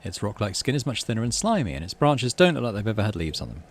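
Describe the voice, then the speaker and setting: A man's voice: on the low side, sing-song, fast talker. A man hosting a playthrough, reading game text aloud with animation and muttering offhand commentary to himself. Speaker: gaming channel host.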